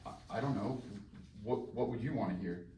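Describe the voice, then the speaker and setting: A man at a screenplay table read playing a smooth-talking, scheming, sardonic wedding DJ. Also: fairly low pitch, brisk, warm tone, natural voice. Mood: conspiring. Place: festival table read in a room. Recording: roomy, distant mic, faint noise.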